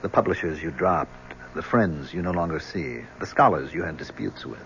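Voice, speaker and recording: A man's voice: a bass voice, moderate pace, a bit bright. An actor playing an embittered young man in an old-time radio drama, coolly menacing behind sardonic courtesy. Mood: bitter, accusing. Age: young adult. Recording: muffled, noisy old radio recording.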